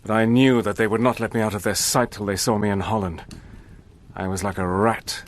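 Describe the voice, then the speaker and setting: An actor playing a resourceful British agent who narrates his own story in a calm, wry first-person voice. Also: fairly low pitch, a little fast, a little hiss or hum, lightly acted. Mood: reflective. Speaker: narrator and hero.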